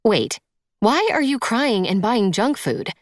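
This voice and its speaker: A woman's voice: on the high side, sing-song, takes it slowly, no room sound, clean studio sound, voice pushed up, exaggerated voice. A woman voicing an awkwardly compassionate, sardonic young stepdaughter in an audiobook, speaking in a hesitant, placating way. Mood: awkward, puzzled.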